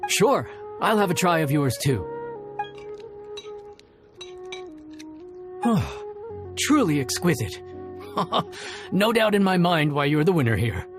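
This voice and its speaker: A plain-spoken inn chef in a video game, good-natured and easygoing. His folksy delivery moves up and down a lot in pitch, over clearly audible music. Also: sing-song, a little slow. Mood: admiring. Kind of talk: game voice acting.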